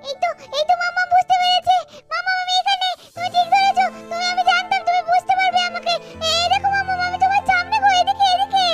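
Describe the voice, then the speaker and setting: A woman's voice: very high voice, flat delivery, fast talker, fairly bright tone, soft background music. A woman voicing the bewildered, innocent ghost of a little girl in a doll-story cartoon, pleading childishly and repeating her calls. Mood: excited, relieved.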